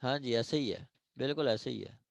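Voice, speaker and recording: A man's voice: medium pitch, vocal fry, no room sound. A man with a Pakistani accent lecturing law students, speaking emphatically and repeating himself to drive points home. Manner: emphatic, repetitive, often checking understanding. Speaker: law lecturer preparing students for judicial exams. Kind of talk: online class discussion and exhortation.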